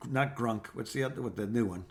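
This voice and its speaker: A man's voice: medium pitch, brisk. A middle-aged man co-hosting a podcast, telling rambling, self-deprecating stories in casual conversation. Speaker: podcast co-host. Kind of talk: casual chat on a phone call podcast.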